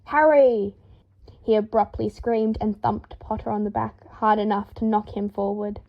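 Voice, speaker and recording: A woman reading fiction aloud as an expressive audiobook narrator, in a slightly dull lo-fi recording. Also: mid-range voice, moderate pace, gravelly. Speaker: fan fiction audiobook reader.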